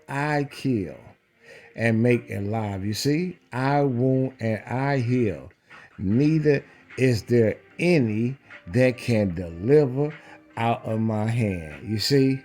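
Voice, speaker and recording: A man's voice: mid-range voice, a little mellow. A man preaching in a scripture read-along, emphatic, repeating phrases and checking in with rhetorical questions.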